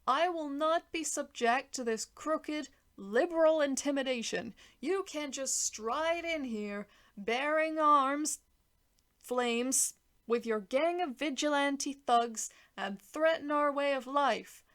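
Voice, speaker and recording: A woman's voice: sits high, slow, a bit bright, pitched above the performer's own voice. A woman voicing a self-important male government official, defensive and blustering in protest. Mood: outraged.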